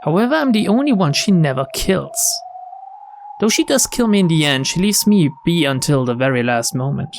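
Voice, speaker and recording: A man's voice: fairly high pitch, very animated, normal speed, vocal fry, a little hiss or hum. A man hosting a game playthrough, reading the text aloud and adding casual commentary. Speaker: let's play host. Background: game music.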